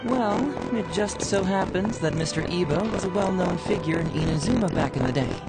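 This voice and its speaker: A man's voice: high voice, brisk, lightly acted, poor audio. An actor playing a crafty, affable young male official, politely coaxing and playful in a video game. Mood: persuasive. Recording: game music under the voice.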